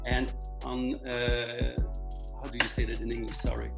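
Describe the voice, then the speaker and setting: An Italian man whose first language is Italian, speaking in slightly halting English and searching for words as an interview guest. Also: medium pitch, completely flat delivery, vocal fry, music in the background. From Italy. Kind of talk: video call interview.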